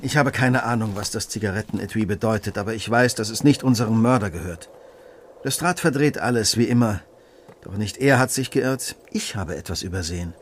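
An actor playing a brilliant, coolly analytical detective in a German radio play, speaking precisely and with authority. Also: male voice, on the low side, a little fast, a little hiss or hum, natural voice. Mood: musing, grave.

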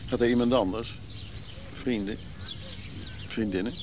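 A man's voice: medium pitch, fairly even intonation, measured pace, noisy background, close to an everyday voice. An actor playing a caring, easygoing man who accompanies a widow, in a naturalistic radio drama. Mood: gentle, concerned.

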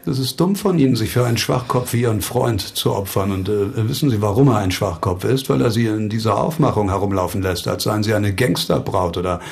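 An actor in a German radio crime drama playing a shrewd, controlled investigator, measured, authoritative and dryly condescending. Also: male voice, on the low side, gravelly. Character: shrewd, controlled male investigator questioning suspects.

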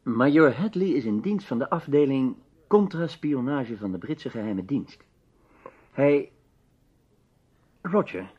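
A keen radio detective on a dull vintage recording. His voice is husky and highly inflected, deliberate and probing as he works through a mystery in a Dutch radio play. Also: lively intonation. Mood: grave, deliberate. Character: keen, methodical amateur detective piecing a mystery together. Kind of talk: old Dutch radio drama acting.

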